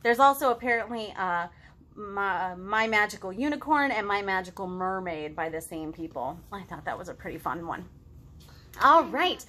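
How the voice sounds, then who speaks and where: A woman in her thirties hosting a children's storytime, reading and chatting to young kids in an expressive, sing-song, exaggerated way. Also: mid-range voice, normal speed. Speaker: children's librarian, storytime host. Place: living room at home, indoors.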